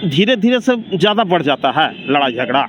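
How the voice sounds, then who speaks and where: A man's voice: on the high side, big pitch swings, a little fast. A man with a Bihari accent casually sharing his opinion in a street interview, outdoors. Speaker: member of the public being interviewed. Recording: dull lo-fi, noisy street traffic.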